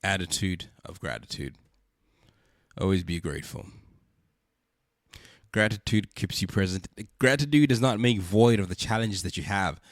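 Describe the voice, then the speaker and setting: Congested male podcast host, lower voice, earnest and preachy, dry booth sound. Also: moderate pace, long pauses, no room sound. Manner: earnest, preachy, repeats rhetorical questions. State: congested from a cold. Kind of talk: reflective spoken monologue.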